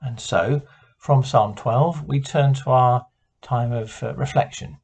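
A British man in his sixties quietly leading evening devotions and prayer, gentle and a little hesitant, speaking at home. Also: mid-range voice, takes it slowly, slightly rough. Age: 60s. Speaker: prayer leader. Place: living room at home.

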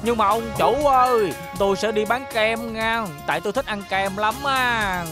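A man's voice: high voice, moderate pace, over music, lightly acted. A man with a southern Vietnamese accent playing a dim, eager henchman in a dubbed cartoon, chatty, rambling and ingratiating.

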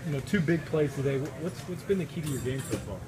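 A man's voice: a little high, animated, fast talker. A male sports reporter putting questions to a player, stumbling and repeating words as he asks. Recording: busy room chatter behind.

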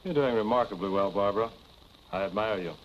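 An actor playing a suave, quietly menacing man in his thirties, speaking smoothly and formally in 1940s film style. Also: fairly low pitch, fast talker, slight background noise, lightly acted. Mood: insinuating. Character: suave, cool male family friend, quietly insinuating and menacing. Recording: dull 1940s film soundtrack with music.

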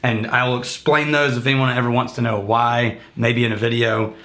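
Bright-voiced bearded whiskey host. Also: male voice, mid-range voice, moderate pace. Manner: animated, joking, lecturing with hand gestures. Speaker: whiskey reviewer and teacher. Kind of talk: casual tasting chat. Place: home bar room lined with bottle shelves.

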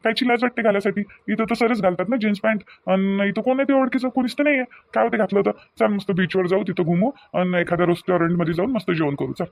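A man's voice: sits high, fast, creaky, hardly any pauses, dry recording. A man voicing a young newlywed husband in a cartoon, doting and a little impatient, coaxing insistently in a Varhadi Marathi accent from Vidarbha. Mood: reassuring. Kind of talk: cartoon dialogue acting in colloquial dialect.

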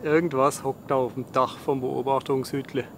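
A man's voice: mid-range voice, little pitch movement, normal speed. A man giving casual, laid-back travel commentary with dry, colloquial wit. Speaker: travel vlogger. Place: on the move, in a vehicle and outdoors. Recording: clear outdoor and vehicle noise.

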